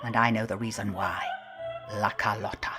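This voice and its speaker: A woman's voice: extremely low pitch, fairly even intonation, normal speed, music in the background. An actress playing a stern, knowing middle-aged mother at the opera in a radio drama, sardonic and foreboding. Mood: confiding, ominous. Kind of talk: full-cast radio drama acting.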